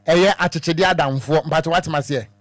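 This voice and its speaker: A man's voice: a little high, steady tone, quick pace, fairly bright tone, no echo. A Ghanaian man hosting a phone-in talk show on the radio from a studio. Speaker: radio host.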